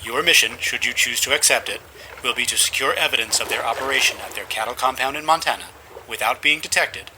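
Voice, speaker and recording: An actor playing a formal mission briefing voice in a spy spoof, measured and dramatic. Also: male voice, mid-range voice, noisy background, close to an everyday voice. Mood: official, portentous. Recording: loud music behind.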